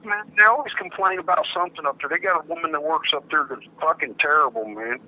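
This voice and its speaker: Sharp, forceful American man on phone. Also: fairly high pitch, fast. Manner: aggrieved, blunt complaining, heavy swearing. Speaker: prison inmate on a phone call. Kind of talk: casual, profane phone chat. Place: prison phone. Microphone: telephone handset. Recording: noisy prison telephone line.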